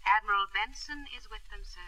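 A woman playing a deferential, efficient secretary in 1950s radio drama, speaking formally and briskly. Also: mid-range voice, sharp tone, dry recording, recorded from a distance, low-quality recording. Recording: set back from the microphone.

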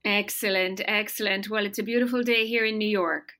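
A middle-aged woman from Ireland, a marketing executive answering interview questions in a measured, articulate way. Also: medium pitch, steady tone, fairly bright tone. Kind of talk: podcast interview.

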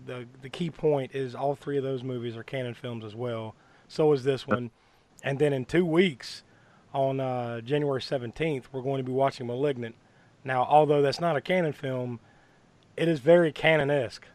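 A man hosting a horror movie podcast, hoarse from bronchitis, talking in a rambling way with many fillers and restarts. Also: medium pitch, steady tone, normal speed, a little mellow. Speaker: podcast host. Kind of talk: casual podcast chat.

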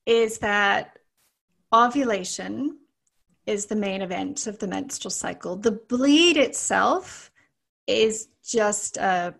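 Woman, middle-aged, Canadian accent, gravelly, explanatory, podcast guest. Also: medium pitch, takes it slowly, fairly bright tone. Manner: chatty and explanatory, with frequent restarts. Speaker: guest, naturopathic doctor. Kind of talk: casual interview talk.

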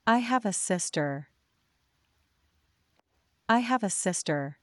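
A woman reading simple example sentences for learners, slowly and deliberately, saying each one twice. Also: mid-range voice, lively intonation. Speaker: English teacher.

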